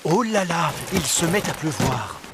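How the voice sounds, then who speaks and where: A man's voice: fairly high pitch, sing-song, measured pace, very noisy. A man narrating a French children's cartoon in a scripted storytelling style.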